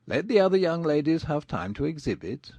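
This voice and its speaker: A man as a husky, dryly sarcastic father. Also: a little high, sing-song, brisk, darkish tone, dry recording, full character voice. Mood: dryly dismissive. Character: dry middle-aged father, curtly sarcastic. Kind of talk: dramatized audiobook acting.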